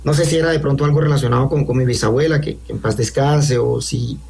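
A man in his thirties with a coastal Colombian accent, calling in to tell a personal ghost story, halting with many restarts and fillers. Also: mid-range voice, fairly even intonation, gravelly, darkish tone, slightly rough. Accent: Colombian coastal Spanish. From Colombian Caribbean coast. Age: 30s. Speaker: caller.

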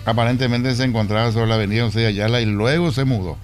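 A man's voice: mid-range voice, fast, faint music behind. A man chatting and reminiscing on a radio show.

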